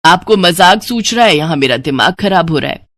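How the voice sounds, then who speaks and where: A woman's voice: on the low side, animated, normal speed, a bit bright, no room sound, studio quality. A woman playing a grumbling, sarcastic middle-aged mother in an audio story. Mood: exasperated. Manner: scolding, sarcastic.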